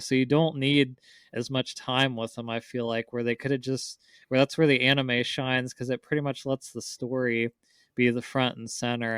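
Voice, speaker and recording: A man with a clear, resonant voice talks casually as a podcast co-host. His pitch stays fairly flat as he rambles through informal explanations, and the sound is dry and close. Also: no echo. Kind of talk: casual chat, discussing visual novels.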